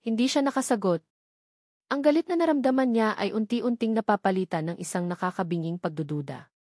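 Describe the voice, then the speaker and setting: A woman narrating a scripted love story in a dramatic, measured way, pausing for emphasis. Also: mid-range voice, no room sound, studio quality.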